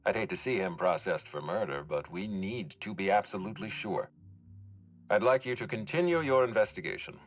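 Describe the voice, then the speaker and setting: Video game role: stern, courteous middle-aged authority figure. Low pitch, measured and formal delivery. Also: male voice, lively intonation. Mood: measured, serious.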